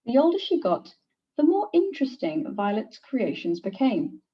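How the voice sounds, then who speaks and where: Woman, teacher reading aloud, expressive picture-book narration, lively melodic delivery, distant muffled recording. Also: fairly high pitch. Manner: expressive, deliberate storytelling for young children. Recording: distant, slightly dull microphone.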